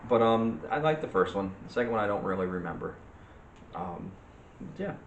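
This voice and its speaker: A man co-hosting a casual, unscripted movie chat in a hesitant, halting way. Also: on the low side, animated, lo-fi sound. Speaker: video co-host. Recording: echoey room with faint noise.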